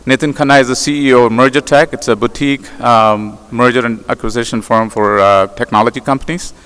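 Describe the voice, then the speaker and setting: A man's voice: medium pitch, steady tone, a little fast, fairly bright tone, clear, resonant tone. A man introducing a segment from the stage as a semi-formal event announcer. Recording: reverberant conference hall.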